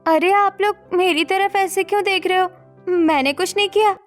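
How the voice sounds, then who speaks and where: Very high, girlish teenage sister, played by a woman. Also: steady tone, normal speed, soft background music. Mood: innocent protest. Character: mischievous teenage girl, sweet, bold younger sister. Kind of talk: Hindi animated family melodrama voice acting.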